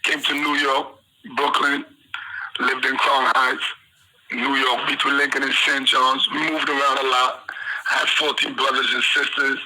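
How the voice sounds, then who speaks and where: A man from Trinidad talks over a phone call, rambling through his story in loose street slang. His voice sits at an ordinary pitch. The line carries clear background noise. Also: flat delivery, a little slow, bright, forceful tone. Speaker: incarcerated guest on the phone.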